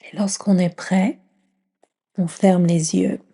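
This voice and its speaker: A woman leading a guided yoga nidra meditation in a gentle, soothing near-whisper with long pauses. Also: fairly low pitch, steady tone, creaky, husky.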